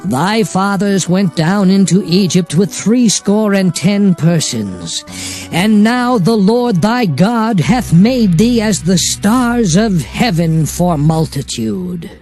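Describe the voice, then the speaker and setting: An actor playing Moses as a solemn prophet exhorting his people in a declamatory, measured dramatized scripture reading. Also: high-pitched, animated, darkish tone. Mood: earnest, exhorting. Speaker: scripture narrator. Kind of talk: dramatized Bible reading in archaic English. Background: music under the voice.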